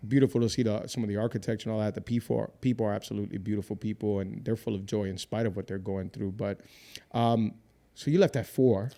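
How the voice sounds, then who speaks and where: A man's voice: lowish voice, fairly even intonation, fast, creaky. A man who is a lead pastor, hosting a casual interview, reflective and affirming, asking questions. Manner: warm, reflective, often affirms with "wow". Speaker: lead pastor and podcast host.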